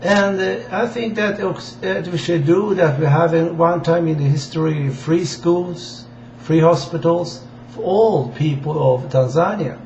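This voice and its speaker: A man from Sweden with a Swedish accent gives an informal political address in halting, deliberate non-native English. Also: fairly high pitch, creaky, darkish tone. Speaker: amateur political campaigner addressing viewers. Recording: dull, echoey room with faint hiss.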